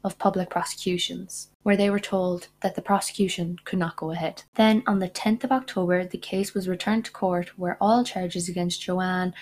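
A woman's voice: lowish voice, steady tone, normal speed, few pauses, a little mellow, slight background noise. A young woman narrating a true-crime podcast from a script, breaking off into indignant asides. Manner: indignant asides between read facts. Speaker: podcast host.

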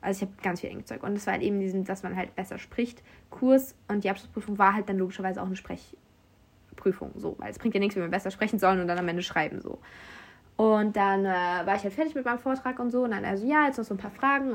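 A woman's voice: medium pitch, vocal fry. A young woman from Germany, a university student abroad, casually telling anecdotes in a chatty way and acting out what other people said. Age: young adult. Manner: chatty, animated quoting of others, frequent fillers. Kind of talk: casual storytelling.

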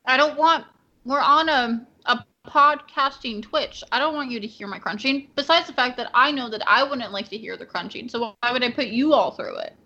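Woman, high-pitched, bright, teasing, casual podcast banter, livestream co-host. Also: moderate pace, highs cut off.

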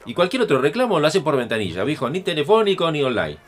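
Un actor con acento rioplatense interpreta a un padre y marido de mediana edad, seco, coloquial y sarcástico, que se pone fácilmente a la defensiva. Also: male voice, fairly high pitch, big pitch swings, fast, a little hiss or hum. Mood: sardonic. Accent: Rioplatense Argentine. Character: sardonic, defensive father and husband, quick to bristle. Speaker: actor in an audio fiction. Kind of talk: naturalistic audio drama acting.

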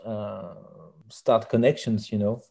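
A man's voice: on the low side, steady tone, slow, creaky, dark tone. A man from France with a French accent answers interview questions conversationally, searching for his words and repeating himself. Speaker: interviewed band musician.

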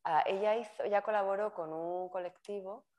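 A woman's voice: medium pitch, fairly even intonation, moderate pace, darkish tone. A woman introduces a guest lecturer in a chatty, informal way, often correcting herself mid-sentence. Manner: chatty, self-correcting, laughs at own slips. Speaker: course organizer introducing the speaker. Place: university lecture room.

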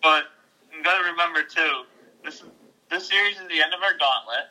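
A man's voice: on the high side, a little fast, pushed and bright, rough recording. A young man co-hosting a casual sports podcast, giving matter-of-fact game updates. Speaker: podcast co-host.

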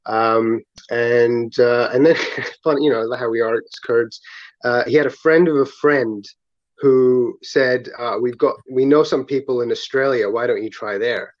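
A talkative man in his thirties with an international school English accent tells stories in a casual, wandering way, gently poking fun at himself. The recording is dry and slightly dull, with a middling pitch. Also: steady tone, measured pace, no echo. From Kuwait. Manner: talkative, self-deprecating, hesitant phrasing. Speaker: interview guest. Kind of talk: casual, rambling conversational storytelling.

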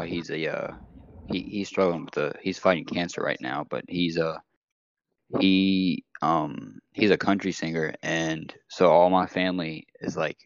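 A young man talking in a hesitant, halting way as a guest in a casual chat. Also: a bass voice, vocal fry, no room sound, dull audio. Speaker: guest rapper.

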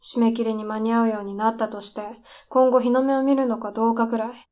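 Radio drama role, played by a woman: would-be female screenwriter at the end of her rope, higher-pitched, needling and defiant. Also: level tone, fast, lo-fi sound, lightly acted. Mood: bitter, challenging. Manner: needling, intense, provocative polite speech. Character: desperate, defiant, lazy aspiring female scriptwriter. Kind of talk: radio drama dialogue acting.

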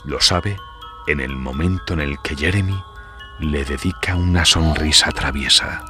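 A man's voice: extremely low pitch, unhurried. A man narrating a horror audiobook in a dramatic, scripted storytelling style. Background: music.

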